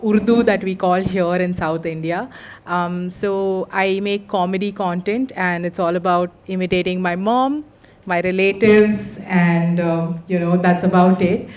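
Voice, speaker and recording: Casual young woman, lower voice. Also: a little mellow. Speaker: panelist. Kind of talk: panel introduction.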